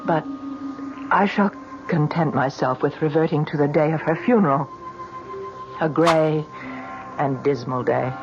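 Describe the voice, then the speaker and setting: A haunted, brooding son tells his tale in an old radio drama. He speaks slowly and deliberately in literary phrases, over clear music on a dull recording. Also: on the high side, steady tone. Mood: grim, haunted. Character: haunted grown son confessing a killing. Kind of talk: 1970s radio drama monologue.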